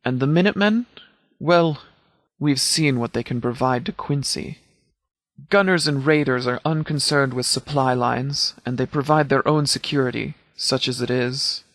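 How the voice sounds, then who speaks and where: An actor playing a stern, principled middle-aged sheriff in a video game, speaking in a formal, grave and deliberate way. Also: male voice, medium pitch, fairly bright tone, dry recording, natural voice. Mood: grave, matter-of-fact. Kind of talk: scripted video game character dialogue.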